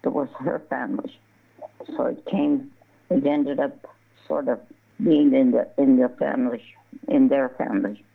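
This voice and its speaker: Gravelly older woman on a phone line. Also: a bass voice, steady tone, a little slow. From southern Colorado. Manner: rambling, restarts words, laughs often. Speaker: guest, embroidery artist. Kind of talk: casual interview, storytelling.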